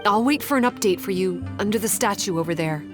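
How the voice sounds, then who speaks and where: A woman playing a composed, analytical guide in a fantasy game, speaking in a measured, formal, explanatory way. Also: mid-range voice, expressive, over music, natural voice. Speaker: game character giving quest briefing. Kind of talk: scripted game dialogue.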